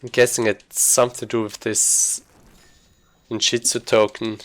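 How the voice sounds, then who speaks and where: Guy with a lowish voice talking through his speedrun, kinda halting, just thinking out loud. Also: flat delivery. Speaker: speedrunner commentating own gameplay. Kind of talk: casual live gameplay commentary.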